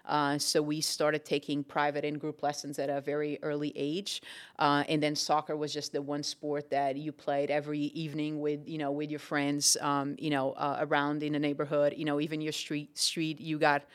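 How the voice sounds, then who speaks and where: A woman's voice: low voice, monotone, normal speed, clean tone. A young adult woman from Portugal, a tennis coach answering interview questions thoughtfully, with many ums and restarts.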